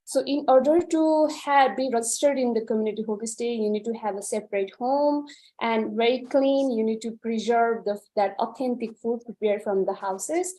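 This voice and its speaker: Woman from Nepal, webinar panelist, mellow, fairly high voice, earnest, restarts phrases. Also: moderate pace, low-quality recording. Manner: earnest, repeats and restarts words. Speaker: panel speaker. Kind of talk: webinar answer, conversational.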